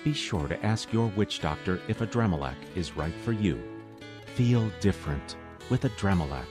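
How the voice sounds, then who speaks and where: A man playing a deadpan announcer in a parody drug commercial, reading straight-faced over guitar music. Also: low-pitched, moderate pace. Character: deadpan male pitchman for an absurd medication. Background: plucked guitar music.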